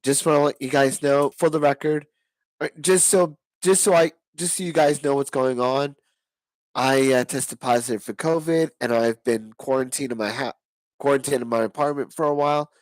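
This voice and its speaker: Hoarse man rambling through predictions. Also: medium pitch, steady tone, normal speed, no echo, poor audio. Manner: rambling, with many restarts and fillers. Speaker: wrestling predictions vlogger. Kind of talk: casual solo predictions talk.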